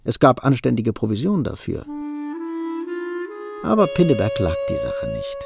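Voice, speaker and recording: A man narrating a literary radio play from a script, with a gravelly voice. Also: medium pitch, wildly swooping pitch, moderate pace, over loud music.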